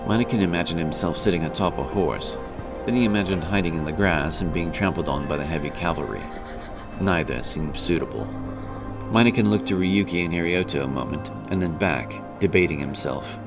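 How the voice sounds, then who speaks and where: Deep-voiced man narrating fantasy. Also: a little fast, over music. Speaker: audiobook narrator. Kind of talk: scripted fantasy story narration.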